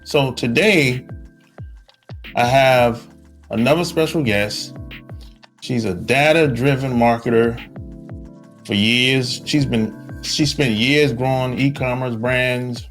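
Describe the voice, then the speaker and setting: Slow-talking male podcast host. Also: medium pitch, fairly bright tone, faint music behind. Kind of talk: interview podcast.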